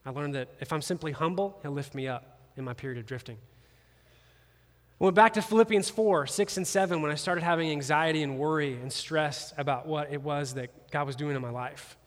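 Lively joking pastor in a big hall. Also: male voice, on the high side, a little fast. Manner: animated, joking, conversational. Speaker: campus pastor. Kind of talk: sermon. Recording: long reverb of a large hall.

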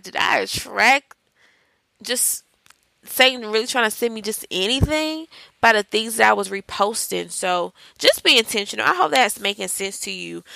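A woman's voice: medium pitch, gravelly, pushed and bright, no echo, poor audio. A woman hosting a faith-based podcast, chatting in a casual, encouraging way and calling her listeners y'all. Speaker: podcast host.

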